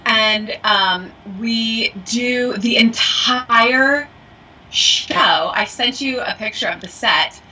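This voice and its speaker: A woman voiceover actor and acting coach co-hosting a casual podcast. Her voice is bright and forceful, and she laughs easily while she chats. The recording is a little distant, with faint background noise. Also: fairly high pitch, extremely slow. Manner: laughs often, bubbly and chatty. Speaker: podcast co-host. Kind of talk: casual chat.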